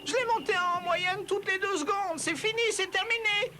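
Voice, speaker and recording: Une voix de dessin animé en français qui joue un petit compagnon comique, excitable et râleur, au ton dramatique. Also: very high voice, normal speed. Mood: exasperated. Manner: dramatic, exclaiming, complaining. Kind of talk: French cartoon voice acting. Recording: loud music behind the voice.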